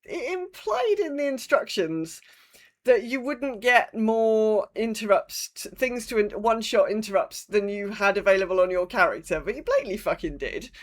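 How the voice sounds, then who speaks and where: Female gaming podcast co-host, average pitch, informal, hesitant phrasing with loose swearing. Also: animated, moderate pace. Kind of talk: casual gaming chat.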